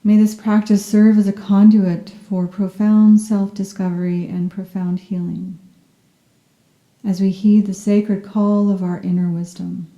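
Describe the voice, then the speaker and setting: A soft-spoken woman guiding a yoga nidra meditation in a gentle, soothing and unhurried manner. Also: mid-range voice, level tone, roomy sound, highs cut off. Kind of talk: guided meditation, scripted narration.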